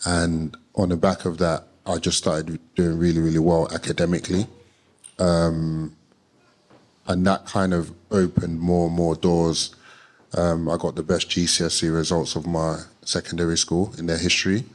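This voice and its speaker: A young man, a panelist calmly telling how he got started. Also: low voice, moderate pace. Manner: calm, matter-of-fact storytelling. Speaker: panelist, trading academy founder.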